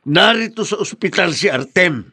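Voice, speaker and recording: An actor playing an indignant, protective old grandfather in a radio drama, husky and emphatic. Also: sits high, lively intonation, normal speed, sharp tone, dry recording, studio quality, full character voice. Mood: indignant, scolding. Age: older. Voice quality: gravelly, husky. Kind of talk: Tagalog radio drama acting.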